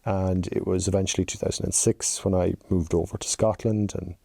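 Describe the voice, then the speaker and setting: A very dark, mellow-voiced Irish man in his forties answers interview questions in a chatty, anecdotal way. His voice is low and gravelly, recorded dry and close. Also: little pitch movement, brisk, no echo. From rural Ireland. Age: 40s. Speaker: podcast guest, chief executive.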